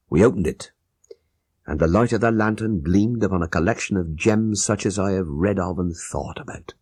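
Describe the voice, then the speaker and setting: Husky, deep male reader as ex-soldier storyteller. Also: darkish tone, dry recording, studio quality. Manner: earnest, vivid, old-fashioned storytelling. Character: male ex-soldier recounting his crime to detectives. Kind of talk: audiobook character narration.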